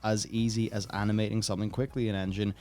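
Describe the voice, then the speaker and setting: A man's voice: fairly low pitch, flat delivery, fast, darkish tone, soft background music. A man hosting a casual gaming discussion, reading and answering viewer comments in a rambling way as he thinks aloud.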